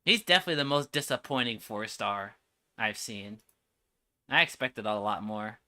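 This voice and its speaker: Very bright-voiced man, streaming reactions. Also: on the low side, moderate pace. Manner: slangy, exasperated, repetitive. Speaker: streamer reacting to game trailers. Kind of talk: casual reaction livestream commentary.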